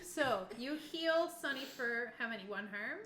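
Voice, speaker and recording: A woman's voice: a little high, animated, moderate pace, bright, forceful tone. A woman running a tabletop game as game master, casually explaining rules and narrating in an informal way. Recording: echoey room with faint background noise.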